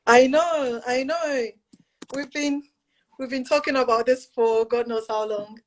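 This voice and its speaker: A woman hosts a live stream over a video call. Her voice is fairly high for a woman. She sounds friendly but hesitant, with ums between her questions. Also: fairly even intonation, measured pace, low-quality recording. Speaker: host. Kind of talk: casual live-stream conversation.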